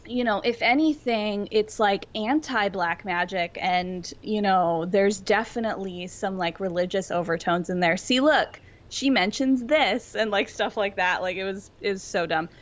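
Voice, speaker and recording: A young woman co-hosts a casual fan podcast, talking at a middling pitch. She rambles through her opinions in loose, conversational speech. The audio is a little dull and lo-fi. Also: normal speed, hardly any pauses. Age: young adult. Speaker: podcast co-host. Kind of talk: casual fandom discussion.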